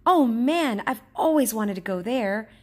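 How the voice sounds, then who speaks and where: A wide-eyed young boy from a children's storybook, acted out by a woman reading aloud. He exclaims and hesitates cautiously, in a lifted voice with a husky edge. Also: fairly high pitch, expressive, measured pace, pitched above the performer's own voice. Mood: amazed, wary.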